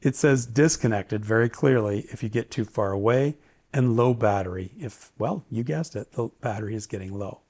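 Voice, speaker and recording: A man's voice: on the low side, normal speed, a little mellow. A man giving a casual, opinionated, lightly joking product review. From Canada. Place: indoors, home study.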